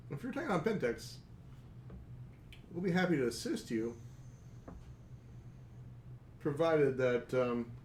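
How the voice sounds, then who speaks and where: A man's voice: mid-range voice, darkish tone, roomy sound, slight background noise. A man voicing a proud female werewolf pack leader, curt and guarded.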